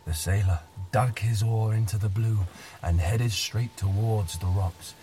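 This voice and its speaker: An actor playing a weary old man telling a haunting tale in an audio drama, measured, hushed and solemn. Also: lowish voice, fairly even intonation, slight background noise, lightly acted. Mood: grave, haunting. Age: older.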